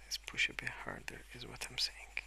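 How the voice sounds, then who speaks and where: A man speaking softly and hesitantly in a rambling ASMR style, often slipping into whispers at a desk indoors. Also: moderate pace. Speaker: host of a colouring ASMR channel. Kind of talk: soft-spoken rambling ASMR. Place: at a wooden desk indoors, with pencil sounds.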